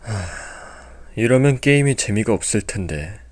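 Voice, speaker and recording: A man plays a level-headed young leader in an audio drama. He sounds calm and decisive, with a lively pitch. Soft music runs underneath. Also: moderate pace, close to an everyday voice.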